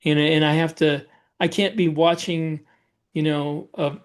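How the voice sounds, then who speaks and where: Higher-voiced man explaining things casually. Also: fairly even intonation, unhurried. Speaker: podcast co-host, novelist. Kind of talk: casual podcast chat.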